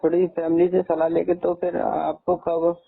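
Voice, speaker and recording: Young male caller, high-pitched and gravelly, polite and hesitant, over a tinny line. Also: completely flat delivery, normal speed. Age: young adult. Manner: deferential, hesitant. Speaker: student on a phone call. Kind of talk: polite phone conversation. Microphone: phone line. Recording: tinny recorded phone call.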